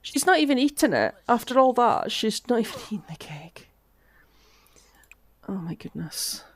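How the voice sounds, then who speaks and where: A woman chatting casually through gameplay commentary, her voice cutting out from a lingering cough as she thinks aloud with soft asides. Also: on the high side, big pitch swings, moderate pace. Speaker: game streamer.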